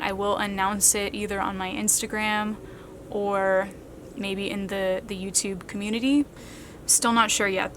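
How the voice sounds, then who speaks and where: A woman of thirty answering viewer questions in a relaxed, chatty vlog, reading each question aloud and then talking it through. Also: medium pitch, slight background noise. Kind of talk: casual Q&A talk to camera.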